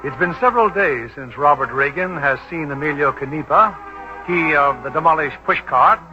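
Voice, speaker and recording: Higher-pitched male vintage radio narrator. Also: expressive, normal speed, over music. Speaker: radio drama host and narrator. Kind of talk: scripted 1940s radio narration. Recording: muffled vintage 1940s radio broadcast.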